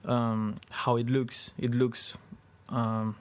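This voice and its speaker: A young man from Venezuela, speaking at a mid pitch. He answers interview questions hesitantly, stopping often to search for words. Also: flat delivery, slow, smooth. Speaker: film crew member, actor. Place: hotel room.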